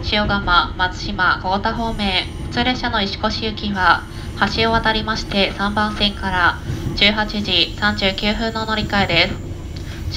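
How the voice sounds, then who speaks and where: Woman conductor announcing over train PA. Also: mid-range voice. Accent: Japanese. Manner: polite formal announcing cadence. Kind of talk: live onboard train announcements. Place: moving train carriage. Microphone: onboard PA speaker recorded from a seat. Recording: PA speaker with clear train noise.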